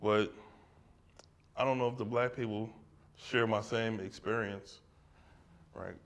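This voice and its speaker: A man with a somewhat low voice speaks casually in an art gallery, fielding questions from the audience. He talks loosely and conversationally, tossing in "right?" and "like" as he works through his thoughts. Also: steady tone, takes it slowly. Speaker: artist discussing his exhibition.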